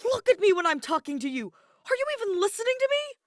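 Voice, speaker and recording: An exasperated, hot-tempered man acted out by a woman reading a story yells at full force. The voice leaps high and explosive, full of fury and frustration. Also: big pitch swings, fast talker, no echo, clean studio sound. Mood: furious. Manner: explosive yelling.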